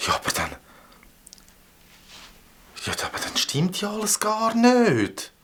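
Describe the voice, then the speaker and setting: An actor voicing an excitable, bossy boy adventurer with a Swiss German accent in a children's audio drama, talking in exclamatory, halting bursts. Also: high voice, a little hiss or hum, heavily acted. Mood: doubtful.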